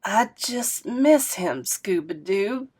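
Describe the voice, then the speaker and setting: A woman voicing a grieving, unconventional old grandmother, talking slowly and heavily in a story read aloud. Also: medium pitch, expressive, darkish tone, breathy edge. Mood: wistful.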